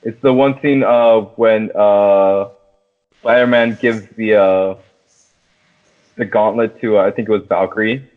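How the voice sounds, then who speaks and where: A low-voiced man chats casually as a podcast host, slangy and sweary with an excitable manner. The sound is narrow and tinny, like a phone line. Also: measured pace, pauses often. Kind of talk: casual movie discussion. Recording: thin phone-like band-limited sound.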